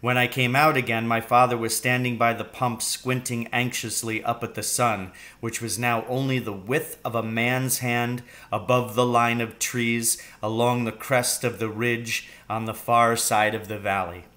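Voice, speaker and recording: A man's voice: medium pitch, little pitch movement, unhurried, hardly any pauses, pushed and bright. Middle-aged man reading a storybook aloud. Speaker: reader. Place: room at home, indoors.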